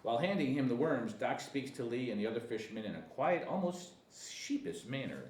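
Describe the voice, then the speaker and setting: A man's voice: medium pitch, lively intonation, fairly quick, a little mellow, recorded from a distance. A man reading stage directions at a comedy screenplay table read, sometimes stumbling over words. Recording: echoey room with faint noise.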